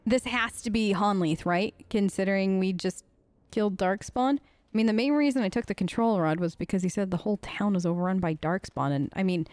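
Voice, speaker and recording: A woman's voice: mid-range voice, a little fast, darkish tone, no echo. A woman doing casual, improvised role-play commentary as a let's play host, conversational, hesitant and often questioning.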